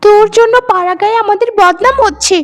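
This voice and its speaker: A woman's voice: extremely high pitch, steady tone, fast talker, soft background music. A woman voicing a spiteful young woman, a sneering younger sister in a cartoon, with a taunting manner. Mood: threatening, angry. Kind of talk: cartoon voice acting.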